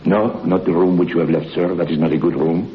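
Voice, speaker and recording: An actor playing a solemn, protective French hotel head waiter in a 1960s radio horror play, with a French accent and halting, formal, broken English. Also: male voice, deep voice, fairly even intonation, natural voice. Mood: firm, polite. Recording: dull, noisy old radio recording.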